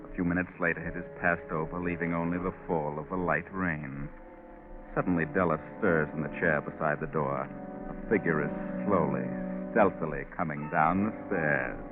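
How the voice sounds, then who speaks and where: An actor narrating a suspenseful 1940s radio mystery in scripted storytelling style. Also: male voice, deep voice, fairly even intonation, normal speed, lightly acted. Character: ominous male storyteller of a mystery. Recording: narrow-band old radio recording. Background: dramatic music.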